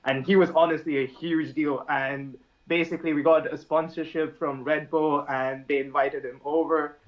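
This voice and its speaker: A young man from India, a podcast guest, talking in a relaxed, thoughtful way with frequent fillers and restarts. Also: on the high side, normal speed, rough recording. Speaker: podcast guest, app founder. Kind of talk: casual podcast chat.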